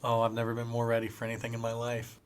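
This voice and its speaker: A man's voice: mid-range voice, completely flat delivery, quick pace. A man talking casually as a player in a tabletop roleplaying game, drifting in and out of character.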